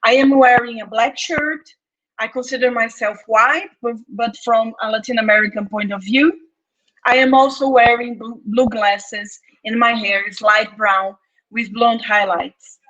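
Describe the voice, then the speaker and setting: A woman in her thirties from Brazil with a Brazilian Portuguese accent, giving a careful, measured reading of an academic talk from her home office. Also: on the high side, fairly even intonation, fairly bright tone. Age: 30s. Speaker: anthropologist, postdoctoral researcher. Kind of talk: read academic conference talk. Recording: muffled lo-fi video recording.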